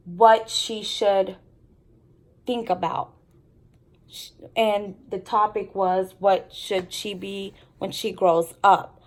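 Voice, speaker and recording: A woman teacher reading a story aloud to students and explaining it in an instructive way. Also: mid-range voice. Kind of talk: read-aloud storytelling with lesson commentary.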